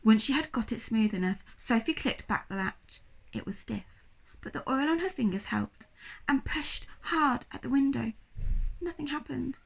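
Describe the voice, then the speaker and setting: A woman reading a children's story aloud like a primary school teacher, with expressive, dramatic narration. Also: high-pitched, normal speed, creaky.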